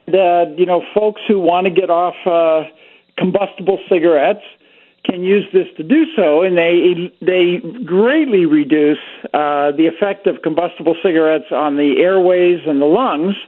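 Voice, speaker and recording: A man's voice: sits high, measured pace. A man from Pennsylvania, a doctor calling into a radio show, easygoing and chatty as he tells stories. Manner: easygoing storyteller, relaxed and chatty. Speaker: physician guest. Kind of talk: casual radio chat. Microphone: phone call. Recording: tinny phone line.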